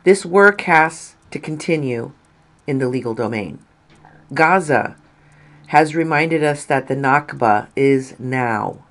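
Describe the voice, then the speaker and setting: A woman narrating a written article in a deliberate, measured way, stressing phrases and letting endings fall. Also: extremely low pitch.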